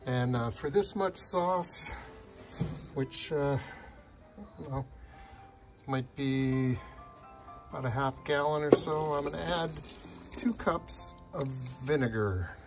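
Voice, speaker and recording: An older man hosting a home cooking show, explaining each step in a relaxed way with frequent pauses, in a kitchen and then out at a backyard grill. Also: a little high. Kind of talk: casual cooking demonstration. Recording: music playing underneath.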